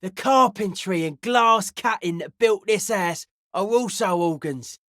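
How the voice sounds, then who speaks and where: Blunt, intense young club zealot, dry recording. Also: male voice, high-pitched, a little slow, sharp tone, dry recording. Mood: dogmatic, dismissive. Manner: clipped, blunt, philosophizing slang-laden delivery. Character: Noid, intense young male anodic-dance-music zealot and carpenter. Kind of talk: video game character voice acting.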